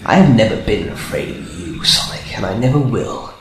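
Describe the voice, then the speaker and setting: A man's voice: on the low side, sing-song, normal speed, reverberant, music in the background. A man playing a menacing, gloating battle robot villain who taunts grandly in an amateur radio drama.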